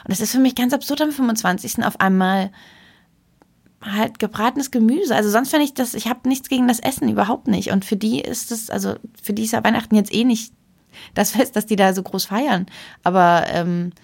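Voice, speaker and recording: A young woman co-hosting a podcast, chatting casually in an animated, emphatic, rambling way. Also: a little high, a little fast, creaky, husky. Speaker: podcast co-host.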